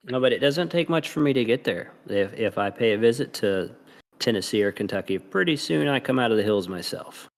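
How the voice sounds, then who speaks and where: A man's voice: on the low side, expressive, brisk. A middle-aged American man with a slight Southern accent, chatting casually. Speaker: gamer on a podcast. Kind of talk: casual chat.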